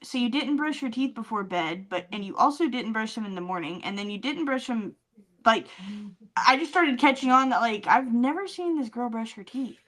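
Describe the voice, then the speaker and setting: A woman's voice: fairly high pitch, lively intonation, moderate pace, fairly bright tone, poor audio. A woman podcast co-host chatting casually and telling comic stories with teasing, dry sarcasm. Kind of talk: casual comedic chat and storytelling.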